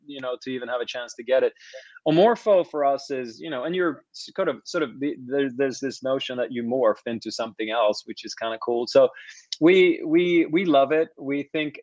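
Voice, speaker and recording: A man's voice: mid-range voice, no room sound, muffled recording. A man answering interview questions casually, hesitant and often repeating words as he restarts. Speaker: guest, company founder.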